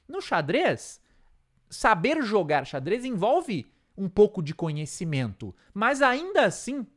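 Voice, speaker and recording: A man's voice: sits high, huge pitch swings, slow. A man with a Brazilian Portuguese accent teaching an English lesson, explaining step by step and checking in with frequent tag questions.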